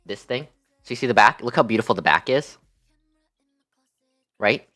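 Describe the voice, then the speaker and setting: Casting: woman streamer, very deep voice, animated slangy storyteller, faint music underneath. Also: normal speed. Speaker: livestream host. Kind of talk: casual livestream storytelling.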